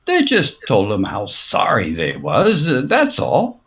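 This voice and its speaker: Man acting a slow, evasive Western barkeep. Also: medium pitch, wildly swooping pitch, fairly bright tone, exaggerated voice. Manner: hesitant, hedging, drawn-out. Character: evasive, uneasy male saloon barkeep in old West. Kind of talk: recreated 1950s radio western acting.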